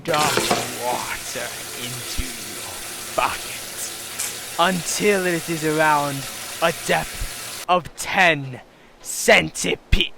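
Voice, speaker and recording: A man's voice: a little high, very slow, gravelly. A man giving comic instructional narration in a folksy, theatrical, emphatic way, with noise behind him. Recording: noisy background hiss.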